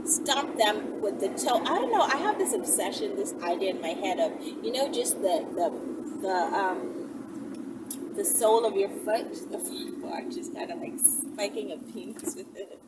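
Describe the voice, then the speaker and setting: A woman talking casually and candidly to viewers as a vlogger, in a rambling, conversational, animated way. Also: medium pitch, measured pace. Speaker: vlogger and channel host. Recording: distant mic, heavy vehicle-like background noise.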